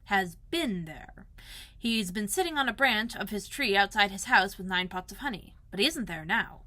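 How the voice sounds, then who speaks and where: A woman's voice: mid-range voice, animated, moderate pace, sharp tone. A woman voicing a pompous, long-winded, learned male owl in a storybook, speaking formally and ponderously. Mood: matter-of-fact.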